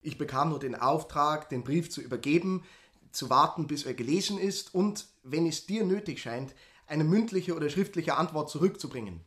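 An actor playing a humble, dutiful messenger in a literary radio drama, speaking formally and with measure. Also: male voice, on the high side, expressive, normal speed, fairly bright tone. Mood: dutiful, reserved. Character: Barnabas, dutiful humble male messenger from the castle.

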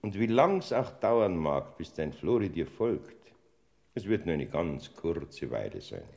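Old bavarian saint peter, gravelly, voice swings up and down a lot, radio play w faint music. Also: male voice, low-pitched, very animated, unhurried, darkish tone, heavily acted. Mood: soothing. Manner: soothing, turns flustered and bossy. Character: Saint Peter, heavenly gatekeeper, older man, fussy yet kindly. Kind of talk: classic radio play acting.

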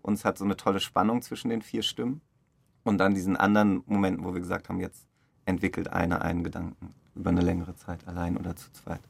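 A man's voice: sits low, little pitch movement, fast talker, vocal fry, breathy edge, dry recording. A man speaking in an interview as a radio play director, explaining enthusiastically in loose, searching sentences. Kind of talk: interview conversation.

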